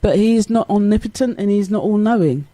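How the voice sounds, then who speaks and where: A woman's voice: medium pitch, fairly quick, dark tone, no echo. A woman hosting a conversational radio interview, speaking in an encouraging, affirming manner. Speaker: radio show host. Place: radio studio.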